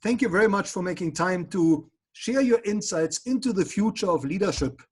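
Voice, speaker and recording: A man's voice: high voice, lively intonation, normal speed, a little mellow, breathy edge. A man interviewing a guest, asking questions politely and formally.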